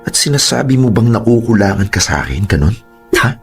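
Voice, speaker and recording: An actor playing an angry, jealous young fiancé who fires sharp questions in a Filipino accent, in radio drama style. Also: male voice, lowish voice, lively intonation, brisk, creaky, husky, faint music behind, heavily acted. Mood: hurt, bitter. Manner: confrontational, peppers talk with sharp questions.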